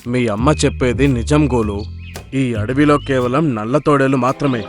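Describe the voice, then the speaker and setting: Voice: medium pitch, normal speed, faint music behind, natural voice. A man voicing a worried, protective father deer in a cartoon, giving earnest warnings. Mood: cautioning. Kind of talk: cartoon character voice acting.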